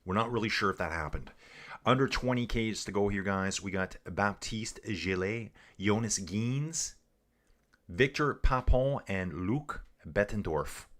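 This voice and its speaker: A chatty man with a lowish voice runs a livestream race commentary. He reads viewer messages aloud and riffs casually, calling everyone guys and dude. His tone is loose and conversational throughout. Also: a little slow, fairly bright tone. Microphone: earbuds.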